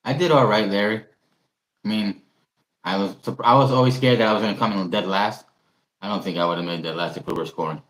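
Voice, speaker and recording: A man's voice: fairly low pitch, brisk, a bit bright. A man chatting casually with the others, joking around. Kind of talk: casual chat. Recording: roomy echo.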